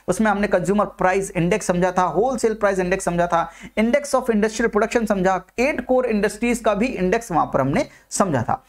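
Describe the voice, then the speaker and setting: A male teacher delivers an exam-prep economics lecture for a video. He restates each idea several times and drops English terms into the explanation. He keeps stopping to ask whether the listener is following. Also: sits high. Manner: repeats points and asks listeners questions; mixes English terms into talk.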